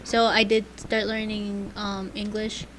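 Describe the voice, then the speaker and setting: A woman's voice: medium pitch, little pitch movement, unhurried, poor audio. A young woman whose first language is Spanish, co-hosting a student podcast and telling stories in casual chat with hesitant fillers. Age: young adult. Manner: chatty, storytelling, hesitant fillers. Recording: faint background noise.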